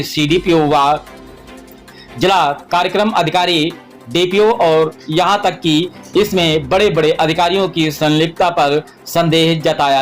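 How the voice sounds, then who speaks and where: Male news presenter, formal scripted read, fairly high pitch.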